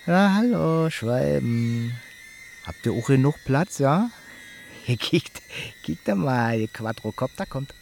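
A prickly, accusing villager in a naturalistic radio play. His medium-pitched voice is very animated and colloquial, with a Berlin-Brandenburg accent, over clearly audible ambient noise. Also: heavily acted. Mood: smug, taunting. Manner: colloquial, confrontational. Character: nosy, prickly, accusing village man, younger neighbour.

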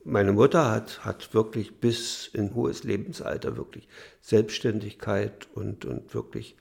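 A man's voice: on the low side, expressive, a little slow, gravelly, darkish tone. An older man recalling his mother in an archival interview, hesitant, repeating words and stressing his points.

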